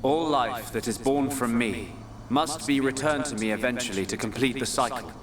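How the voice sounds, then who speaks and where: An actor playing an arrogant, merciless god in a dramatic game cutscene, speaking in grandiose, menacing pronouncements. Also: male voice, mid-range voice, normal speed, slight background noise, close to an everyday voice. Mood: cold, imperious. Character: arrogant, merciless male god bent on destruction. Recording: roomy, distant voice under loud music.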